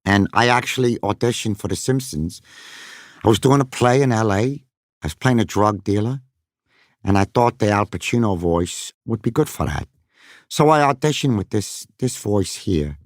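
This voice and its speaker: A man's voice: fairly low pitch, animated, normal speed, dry recording, studio quality. A middle-aged man, a voice actor, musing on his craft in a podcast monologue and slipping now and then into character voices.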